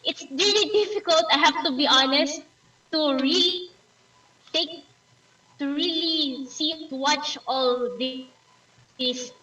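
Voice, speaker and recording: A woman's voice: sits high, takes it slowly, pauses often, pushed and bright, a little hiss or hum. A Filipino woman whose first language is Tagalog, giving a playful timer's report with theatrical asides over a video call. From Philippines. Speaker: meeting timer. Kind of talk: online club meeting report. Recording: echoey room, distant mic.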